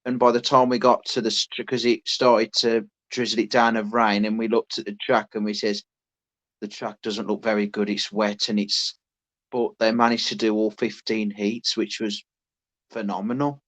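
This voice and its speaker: A man's voice: lowish voice, level tone, moderate pace, a bit bright. A man, co-hosting a podcast, who rambles in casual chat with many restarts. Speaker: podcast co-host.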